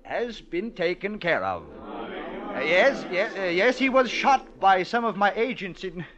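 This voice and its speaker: An actor playing a middle-aged, genteel and evasive wealthy patriarch with a sinister calm and hesitant, politely bland speech, in 1940s radio drama style. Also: male voice, high voice, unhurried, exaggerated voice. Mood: unctuous, falsely cheerful. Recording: muffled vintage radio recording.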